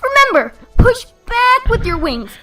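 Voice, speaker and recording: A woman's voice: very high voice, very animated, measured pace, music in the background, heavily acted. A woman voicing a brash, confident female pegasus pony who coaches flying in a cartoon fan story. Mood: confident, coaching. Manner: cocky, encouraging, instructing.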